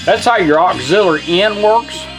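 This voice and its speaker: Give a man with a slightly high voice, talking in a folksy, plain-spoken way as he demonstrates a product. His delivery is casual and hands-on, with some music playing behind him. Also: moderate pace, rough recording.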